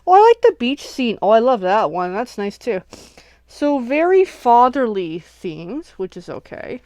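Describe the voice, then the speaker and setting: A woman's voice: fairly high pitch, big pitch swings, unhurried, darkish tone, no room sound. A sniffly woman hosting a casual crafting livestream, chatting and thinking aloud as she unboxes and works at home.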